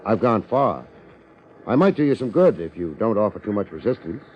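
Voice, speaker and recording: An actor playing a wry, hardboiled American private investigator, wisecracking drily in a 1950s radio detective drama. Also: male voice, on the low side, big pitch swings, normal speed, slight background noise. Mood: brisk, steadying. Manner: wisecracking, dry, sardonic. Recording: muffled 1950s radio recording.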